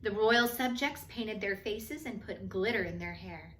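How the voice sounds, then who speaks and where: A woman reading a children's picture book aloud in an expressive, measured storytelling manner, with phrases that trail off softer. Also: medium pitch, a little hiss or hum. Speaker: storybook reader. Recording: echoey room.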